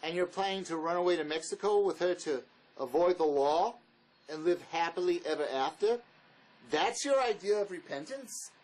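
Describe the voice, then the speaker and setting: A man's voice: high-pitched, normal speed, darkish tone, lightly acted, rough recording. A man acting a bitter, blunt fugitive drifter in a dramatic script reading, sarcastic and cutting.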